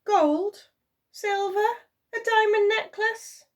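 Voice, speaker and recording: A woman's voice: very high voice, slow pace, distant mic, voice pushed up. A woman voicing a sweet, anxious young queen in a children's storybook, exclaiming with feeling. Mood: nervous, hopeful. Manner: emotional, exclaiming.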